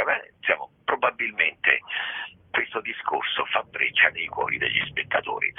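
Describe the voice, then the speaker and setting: A man, a theatre director and actor, explaining a play in a radio interview, pausing often to search for words, heard over a phone line. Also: sits low, slightly rough, dry recording. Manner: searching for words, frequent pauses and hedges.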